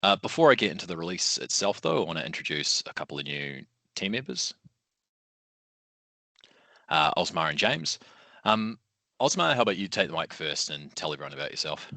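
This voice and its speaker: A man hosting a software webinar in a relaxed, casual way. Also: deep voice, brisk, creaky, long pauses, no echo. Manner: casual, easygoing, loose phrasing. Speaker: documentation manager, webinar host. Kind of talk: relaxed presenting.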